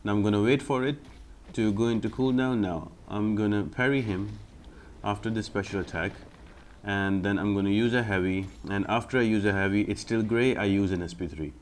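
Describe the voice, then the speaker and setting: A man's voice: fairly low pitch, fairly even intonation, fairly quick, a little hiss or hum. A man giving step-by-step gameplay walkthrough commentary as the host of a guide video, explaining as he plays.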